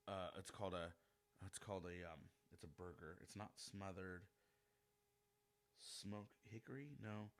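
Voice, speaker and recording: A man's voice: on the low side, sharp tone. A man, hosting a casual solo podcast and talking straight to his listeners in a chatty, self-deprecating way. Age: 40s. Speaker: podcast host.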